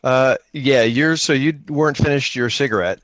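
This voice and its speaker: A man's voice: medium pitch, moderate pace, a bit bright, slight background noise. A man chatting casually and jokingly with dry humour as he plays an improvised tabletop role-playing game.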